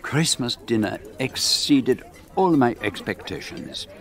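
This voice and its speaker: An actor playing a precise, fussy older detective, narrating and speaking in a measured, formal way. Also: male voice, medium pitch, sing-song, crowd noise. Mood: wry. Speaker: narrator and lead character. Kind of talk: radio drama narration.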